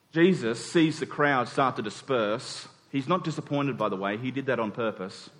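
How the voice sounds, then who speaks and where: A man preaching a conversational sermon in an informal way, acting out dialogue in everyday words. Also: on the high side. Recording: long hall reverb.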